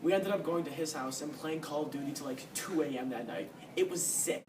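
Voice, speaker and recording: A man's voice: fairly high pitch, brisk, natural voice. A man playing a laid-back student witness casually recounting his alibi. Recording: echoey, noisy room, distant mic.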